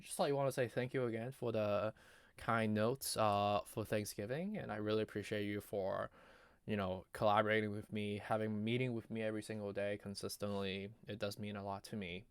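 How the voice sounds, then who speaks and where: Low, creaky man losing his voice. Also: flat delivery, brisk, no echo. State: losing his voice, often clearing throat. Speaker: market analysis video host. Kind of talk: casual improvised technical chart commentary.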